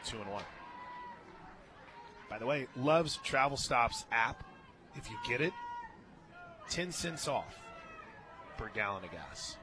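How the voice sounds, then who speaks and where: An American man doing live radio play-by-play of softball, conversational and clipped, lifting into emphatic calls. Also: medium pitch. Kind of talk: live softball radio commentary with casual banter. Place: stadium radio booth.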